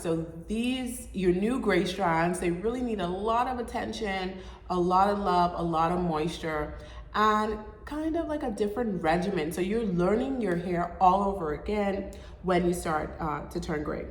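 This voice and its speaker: A young adult woman hosting her own vlog, sounding nasal from a cold, chatting casually as she explains hair care step by step. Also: on the low side. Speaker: show host. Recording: echoey room with long reverb.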